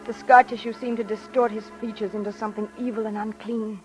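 A woman's voice: a little high, flat delivery, brisk, darkish tone, close to an everyday voice. A woman playing an anxious, earnest daughter who narrates and acts in an old-time radio suspense drama. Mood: somber, haunted. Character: anxious, soothing unmarried daughter narrating her ordeal. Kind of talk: dramatic first-person radio narration and acting. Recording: dull old radio recording, music behind. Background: music.

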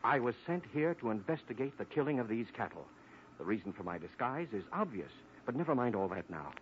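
Man as a low, steady-voiced agent in an old radio serial, calm and firm, muffled, hissy. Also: fairly quick, natural voice. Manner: calm, firm, matter-of-fact. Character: plain-spoken male government agent, calm and firm. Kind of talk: 1940s radio acting. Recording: muffled old radio broadcast with faint hiss.

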